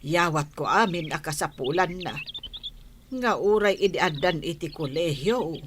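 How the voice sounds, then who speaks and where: A woman's voice: lowish voice, measured pace, background noise, natural voice. A woman playing a worried, self-sacrificing old village mother and wife in a radio drama, speaking plaintively. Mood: weary, lamenting.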